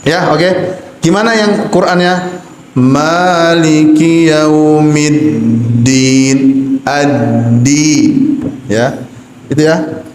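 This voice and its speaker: A man's voice: fairly high pitch, drawn-out words, a little mellow. A Javanese man teaching Quran recitation to imams and preachers in a mosque, explaining patiently with rhetorical questions. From Java, Indonesia. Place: mosque hall. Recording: echoey mosque hall, faint background hum.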